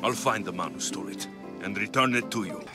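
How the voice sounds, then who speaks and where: An actor playing a sceptical, muscular mercenary hero in a video game, speaking in a dry, sardonic manner. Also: male voice, on the low side, brisk, music in the background, natural voice. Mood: businesslike. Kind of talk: video game cutscene acting.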